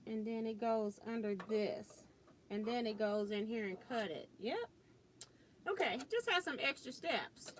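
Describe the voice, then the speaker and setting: A woman hosting a live sewing stream, chatting casually and thinking aloud as she works. She has a loud voice and chuckles often. She is recorded on a laptop mic about four feet away in a home sewing room. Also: a little high, measured pace, a little hiss or hum. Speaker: quilting and sewing livestream host. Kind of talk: casual live demo chat. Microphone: built-in laptop mic about four feet away.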